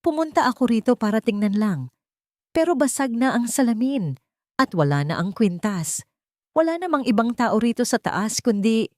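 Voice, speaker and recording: Woman narrator as haughty villainess, scheming, theatrical, animated pitch, dry storybook recording. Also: moderate pace, dry recording. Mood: panicked, tearful.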